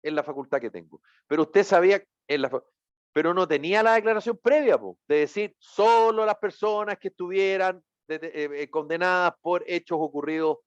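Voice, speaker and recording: A man's voice: high voice, a little fast, dry recording, lo-fi sound. A Chilean man hosting a radio panel discussion, joking and colloquial, often cutting in. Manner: joking, colloquial, interrupts others. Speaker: radio host.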